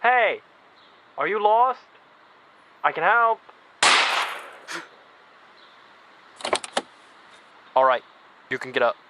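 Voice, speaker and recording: Casting: reckless, impatient man, curt delivery with lively pitch swings, faint vehicle noise, audio drama. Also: unhurried, muffled recording. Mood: forceful, impatient. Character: impulsive, obsessed, gruff male risk-taker.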